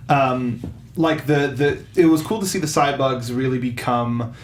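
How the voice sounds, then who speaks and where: Male host, middle pitch, roomy echo, faint background noise, casual panel chat, halting phrasing. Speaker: talk show host. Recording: echoey room with faint noise.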